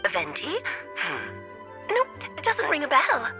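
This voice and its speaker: A woman's voice: high-pitched, wildly swooping pitch, takes it slowly, pushed and bright, soft background music, full character voice. A woman voicing a whimsical, theatrical witch in an anime game, teasing and airy in manner.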